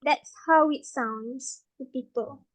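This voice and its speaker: A woman's voice: high-pitched, slow, low-quality recording. A woman with a Malaysian accent teaching a casual online Malay class, patient and mixing Malay with English.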